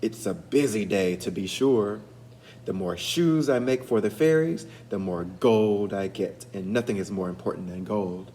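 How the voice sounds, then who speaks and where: A man reading a storybook and voicing a proud, greedy leprechaun shoemaker in a gruff, challenging, indignant manner. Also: lowish voice, unhurried, warm tone, a little hiss or hum, natural voice. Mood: grumpy, boastful.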